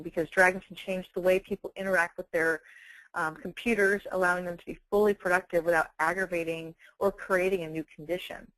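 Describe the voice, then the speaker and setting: A woman giving an explanatory software presentation in a measured, careful, professional way. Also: lowish voice, no echo, low-quality recording. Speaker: webinar presenter, software company representative.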